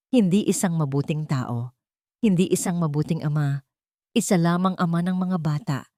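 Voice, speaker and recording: Woman storyteller, lowish voice, dry close sound, solemn dramatic narration w pauses. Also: dark tone, no room sound.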